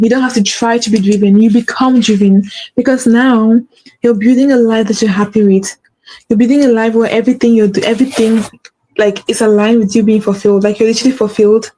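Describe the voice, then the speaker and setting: A woman's voice: a little high, warm tone, a little hiss or hum, low-quality recording. A woman with a stutter hosting a faith-based motivational talk, repeating her key phrases for emphasis. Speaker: podcast host.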